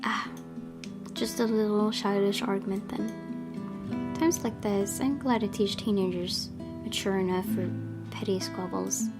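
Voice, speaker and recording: Creaky-voiced woman reading game narration. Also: medium pitch, fairly even intonation, measured pace, over loud music. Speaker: gaming channel host. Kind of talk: reading visual novel narration aloud with asides.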